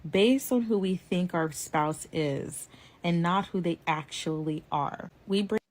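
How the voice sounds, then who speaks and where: A woman's voice: lowish voice, measured pace, a little mellow. An American woman in her thirties explaining relationship advice from personal experience directly to viewers.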